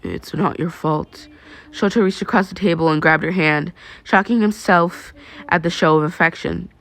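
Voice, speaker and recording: A woman's voice: lowish voice, moderate pace, fairly bright tone. A woman narrating fan fiction in the style of an amateur audiobook.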